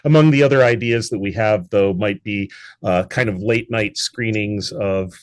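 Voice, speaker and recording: A man's voice: on the low side, moderate pace, no room sound. An articulate, enthusiastic man speaking conversationally as a guest in an interview. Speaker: interview guest, theatre founder and producer.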